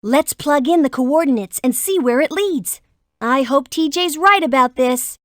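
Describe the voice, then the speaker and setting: A woman voicing a determined, spirited young girl adventurer in a cartoon computer game, brisk and earnest. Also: sits high, dry recording, studio quality.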